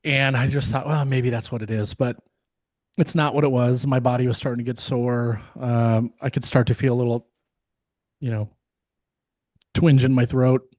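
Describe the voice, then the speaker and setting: A man co-hosting a podcast, hoarse and losing his voice, talking in a casual, rambling way with many fillers and restarts. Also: medium pitch, steady tone, normal speed, long pauses, a little mellow, dry recording, studio quality. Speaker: podcast co-host. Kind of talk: casual chat.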